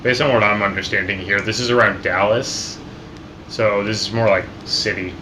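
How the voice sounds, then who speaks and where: A man's voice: lowish voice, fairly even intonation, normal speed, background noise. A man talking in a casual, conversational storytelling way as co-host of a reaction video.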